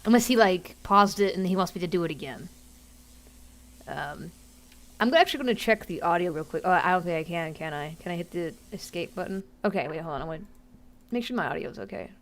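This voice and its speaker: A woman streaming a game live, chatting excitedly and giggling as she plays. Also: on the low side, brisk. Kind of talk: casual live gameplay commentary.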